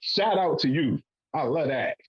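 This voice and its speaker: A man's voice: on the high side, animated, measured pace, highs cut off, a loud crowd. A man in his forties hosting a podcast panel, making his point emphatically and swearing casually. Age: 40s. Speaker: podcast host.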